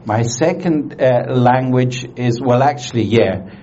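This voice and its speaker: A man's voice: mid-range voice, warm tone, lo-fi sound. A man preaching in English in short phrases for an interpreter, with hesitant fillers and restarts. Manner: halting, many fillers and restarts. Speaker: guest preacher.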